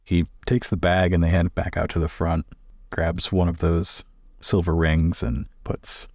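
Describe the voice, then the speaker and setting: Low-voiced, thoughtful man playing tabletop roleplay. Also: flat delivery, a little slow, darkish tone, no echo, studio quality. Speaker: player in a roleplaying podcast. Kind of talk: tabletop roleplay, inquisitive questions and action narration.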